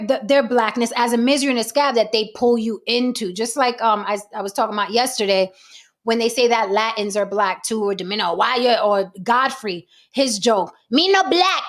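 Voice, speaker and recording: A woman's voice: on the high side, normal speed. A woman hosting a casual livestream chat, blunt and profane. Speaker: host.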